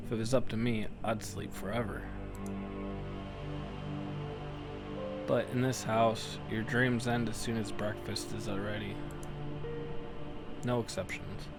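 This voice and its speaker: A man's voice: on the low side, flat delivery, moderate pace, natural voice. Man reading as a weary, wry son over music. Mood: resigned. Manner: dry, resigned, wry. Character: weary, wary young son, sullen and resigned. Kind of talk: first-person game narration in character. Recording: guitar music behind the voice.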